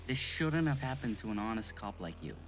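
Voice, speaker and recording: A man acting an earnest autopsy doctor in an amateur audio drama, talking in a deliberate, conversational way. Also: on the low side, sing-song, faint music behind. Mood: matter-of-fact, sympathetic. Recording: background noise.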